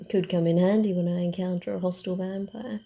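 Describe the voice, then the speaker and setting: A woman voicing a sly, guarded, secretive female vampire in a hushed, theatrical and deliberate ASMR roleplay style. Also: fairly low pitch, fairly even intonation, creaky. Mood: sly, ominous.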